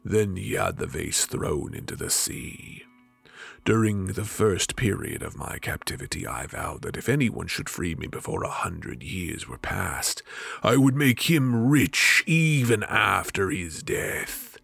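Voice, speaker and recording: A man voicing a huge, haughty, wrathful djinn from a storybook, with slow, menacing, grandly dramatic delivery. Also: low-pitched, expressive, vocal fry, fairly bright tone, husky, faint music behind, full character voice. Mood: brooding. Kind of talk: storybook villain.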